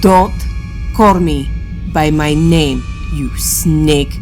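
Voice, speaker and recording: Woman playing a deep-voiced, scornful fleet admiral. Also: darkish tone, faint music behind. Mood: cold fury. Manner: stern, scornful, deliberate. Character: proud, defiant female alien fleet admiral. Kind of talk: audio drama acting.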